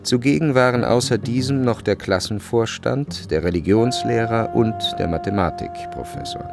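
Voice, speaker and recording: An actor as the wry literary narrator of a radio play, delivering scripted narration. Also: male voice, fairly low pitch, normal speed, gravelly, over music. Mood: wry, narrating.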